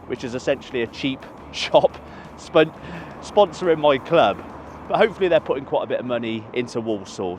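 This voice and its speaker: A man's voice: on the high side, a little hiss or hum. A man, walking around a football ground and chatting casually to camera in a rambling, self-correcting way. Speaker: football stadium vlogger. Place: outdoors by a stadium near a motorway.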